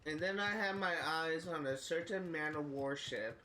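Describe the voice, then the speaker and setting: Brief: stuffy, scratchy-voiced male game streamer, a bit high, faint hum behind. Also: moderate pace, sharp tone. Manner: rambling, with frequent uhs and coughs. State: congested head cold, scratchy throat. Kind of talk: casual gameplay commentary.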